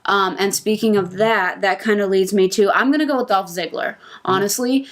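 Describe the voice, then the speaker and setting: A woman's voice: mid-range voice, moderate pace. A woman chatting casually as a podcast panelist, giving her opinions conversationally.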